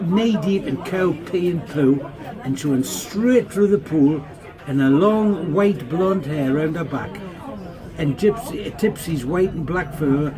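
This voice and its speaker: Casting: middle-aged dad reminiscing casually, slightly high-pitched male voice, clear chatter around him. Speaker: father telling family stories. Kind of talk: casual anecdote-telling.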